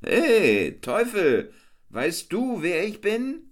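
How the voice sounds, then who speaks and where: A man's voice: huge pitch swings, drawn-out words, full character voice. A man voicing a hungry, cheeky male jester who teases and whines in sing-song rhymes. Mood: mocking. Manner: high, whiny, sing-song rhyming.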